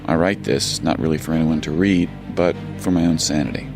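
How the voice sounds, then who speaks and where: A man's voice: rumbling low, a little fast. An actor playing a weary, blunt young army sergeant narrating his journal in an audio drama. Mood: weary, reflective. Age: 20s. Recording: music under the voice.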